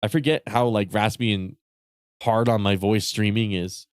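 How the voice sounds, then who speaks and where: Rambling man streaming, voice going raspy. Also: fairly low pitch, fairly even intonation, moderate pace, no room sound, studio quality. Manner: rambling, profane, many fillers and restarts. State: voice getting raspy. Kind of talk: casual live-stream chat with viewers.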